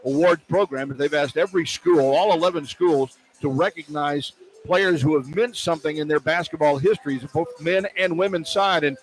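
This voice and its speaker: A man's voice: a little high, moderate pace. A man calling live basketball play-by-play on the radio, describing the action in clipped running phrases. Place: large indoor basketball arena. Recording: noisy live arena broadcast. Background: loud arena ambience.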